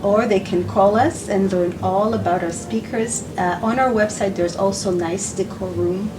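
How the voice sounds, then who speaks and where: A woman giving unscripted promotional answers as a company representative, halting as she searches for words. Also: medium pitch, fairly even intonation, noisy background. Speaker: company representative, interview guest. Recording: slightly distant mic, music behind. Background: background music.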